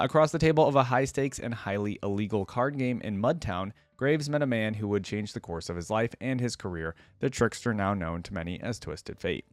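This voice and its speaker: A man's voice: medium pitch, dry recording. A man with a mid-range voice reads game lore aloud as the host of a reaction video. He slips between narration and casual, conversational commentary. The sound is dry, with very little room in it.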